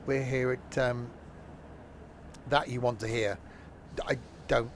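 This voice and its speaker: A man talks his way through a train game for a live audience, loose and jokey, with a voice sitting at an ordinary middle pitch. He meanders, corrects himself often and keeps up a running banter with his chat. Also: flat delivery, slow pace, slight background noise. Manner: rambling, jokey, chats with live viewers; frequent ums and self-corrections. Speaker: streamer. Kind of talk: casual gameplay commentary.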